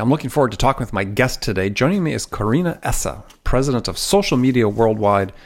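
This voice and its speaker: A man's voice: medium pitch, gravelly. A man from the United States hosting a sales podcast interview. He talks plainly and stops to explain terms for his listeners. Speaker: podcast host.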